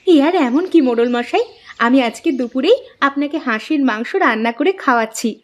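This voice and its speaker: A woman's voice: sits high, expressive, fairly quick. A woman voicing a humble, earnest poor village wife in a moral-story cartoon, pleading respectfully. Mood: willing.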